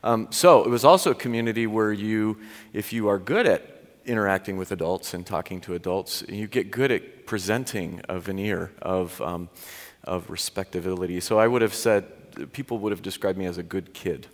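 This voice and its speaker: Middle-aged man, low voice, candid and self-deprecating testimony, long hall reverb. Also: moderate pace. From US Pacific Northwest. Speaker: college president. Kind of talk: personal testimony.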